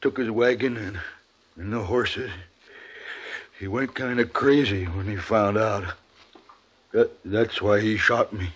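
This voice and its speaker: A man's voice: lowish voice, fairly even intonation, slow pace, long pauses, husky, muffled recording. An American man playing a weak, frightened, wounded buffalo skinner in an old radio western. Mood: weak, pained. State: weak, gasping from a gunshot (acted). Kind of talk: 1950s radio western acting.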